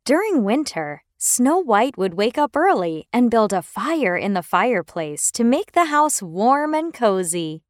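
A woman tells a children's fairy tale with care. Her voice is high and melodic, and she lets each phrase land slowly. The recording is dry. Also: no room sound. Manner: expressive, careful. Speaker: storyteller.

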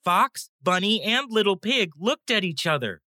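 A woman narrating a children's bedtime story in an expressive storytelling manner. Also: mid-range voice, moderate pace, no room sound.

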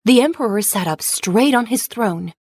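A woman's voice: a little high, expressive, moderate pace, darkish tone, dry recording, studio quality. A woman narrator reading a children's fairy tale as scripted storybook narration.

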